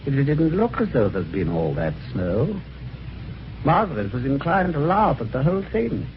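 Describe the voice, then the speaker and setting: An actor playing an eager, excitable Englishman telling his own strange adventure in an old radio mystery, with a British accent and genteel old-fashioned phrasing. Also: mid-range voice, moderate pace, a little hiss or hum. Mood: puzzled, recounting. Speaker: lead actor and first-person narrator. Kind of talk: golden age radio mystery acting. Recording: dull old radio recording, music behind.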